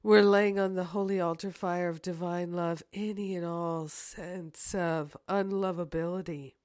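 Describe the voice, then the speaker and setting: A woman speaks very slowly in a fairly low voice, with a hoarse, congested croak. She sounds like a prayer leader, deliberate in her phrasing and leaving space between her words. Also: steady tone, a little mellow. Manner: deliberate, repetitive affirming phrases with long pauses. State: hoarse from sinus trouble. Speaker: spiritual teacher leading a prayer. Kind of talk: devotional guided prayer, then casual announcements.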